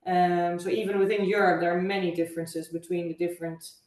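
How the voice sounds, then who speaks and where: A woman from the Netherlands with a Dutch accent, a guest answering interview questions in a measured, thoughtful way with frequent fillers. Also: on the low side, flat delivery, darkish tone. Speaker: guest, travel agency owner. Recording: echoey room, far from mic.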